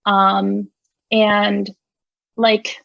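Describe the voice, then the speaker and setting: A woman's voice: medium pitch, completely flat delivery, very slow, vocal fry, no echo, lo-fi sound. A young woman who grew up in Indonesia, a music writer talking as a guest in a casual interview, enthusiastic and full of fillers. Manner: chatty, enthusiastic, many fillers and laughs.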